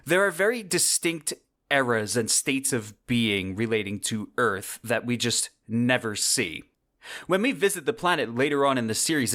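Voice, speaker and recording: A male podcast host with a mid-pitched voice talks through a semi-scripted commentary. His delivery is conversational and wordy, and he leans on key phrases for emphasis. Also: a bit bright.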